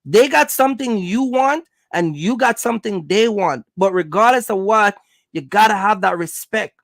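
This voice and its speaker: A man's voice: high-pitched, animated, normal speed, gravelly, fairly bright tone, no room sound, studio quality. A man hosting a casual Q&A for viewers, giving business advice in colloquial, slangy phrasing and repeating his points for emphasis. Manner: repetitive, emphatic urging.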